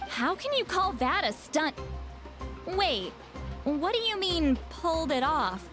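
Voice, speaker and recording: A woman's voice: high-pitched, very animated, slow pace, over music, full character voice. A woman voicing a flustered young female helper in a video game, puzzled and questioning.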